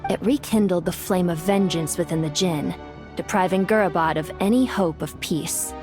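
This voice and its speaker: A woman's voice: fairly low pitch, moderate pace, over music, close to an everyday voice. A woman playing an ancient, regal desert spirit in a video game, speaking formally and loftily to the master she serves. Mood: solemn, reflective. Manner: formal, stately, lofty. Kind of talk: dramatic video game voice acting.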